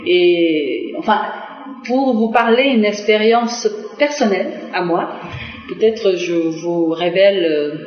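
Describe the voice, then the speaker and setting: A woman's voice: mid-range voice, takes it slowly. Woman diplomat, echoey. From Korea. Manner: hesitant, many fillers and restarts. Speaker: Korean diplomat. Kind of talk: TV interview answers. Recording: echoey, dull lo-fi TV broadcast.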